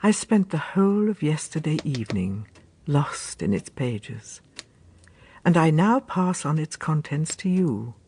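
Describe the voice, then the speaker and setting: An actress playing a genteel Victorian lady author, narrating an audio drama in a husky, measured voice. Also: sits low, warm tone, exaggerated voice.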